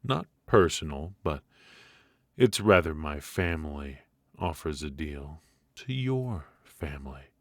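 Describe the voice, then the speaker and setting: Man acting a very deep-voiced, smug mafia boss. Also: animated, no echo, studio quality, exaggerated voice. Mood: smug, probing. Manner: smooth-talking, teasing, deliberate with drawn-out pauses. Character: smug, menacing male mafia boss, courteous and ruthless. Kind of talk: ASMR roleplay acting.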